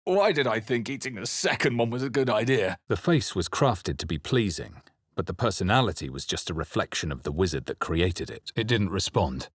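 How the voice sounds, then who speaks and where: A brooding fantasy game hero with a deep voice and a husky edge. He is grave and wry, speaking in measured, theatrical phrases that move widely in pitch. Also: sing-song, dry recording, clean studio sound. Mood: wry, guarded. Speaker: video game voice actor. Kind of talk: dramatic fantasy game narration and character barks.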